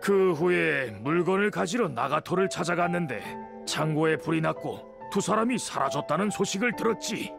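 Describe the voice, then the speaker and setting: Elderly master craftsman for a game: gravelly creak, slightly high, patient and kindly elder phrasing. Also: animated. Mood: troubled, measured. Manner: measured, old-fashioned elder speech. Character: elderly male master swordsmith, patient, kindly, worried for nephew. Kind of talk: video game voice acting. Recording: clear background music.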